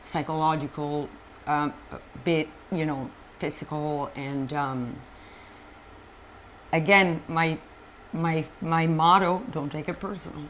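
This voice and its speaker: A woman from Colombia, a native Spanish speaker, telling her life story as an interview guest in an animated, halting way with frequent restarts. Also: low-pitched, slight background noise. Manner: animated, halting, many fillers and restarts, frank. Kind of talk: personal storytelling in conversation.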